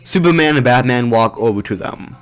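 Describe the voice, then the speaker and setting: A man's voice: medium pitch, expressive, a little fast, clear, resonant tone. Man, scripted audio drama narrator, mid-range voice, music underneath.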